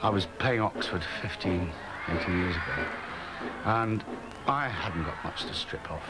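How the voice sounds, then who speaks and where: A man's voice: sits low, measured pace, heavy background noise. A man, a pantomime performer, telling an amused anecdote about his act. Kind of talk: archive interview.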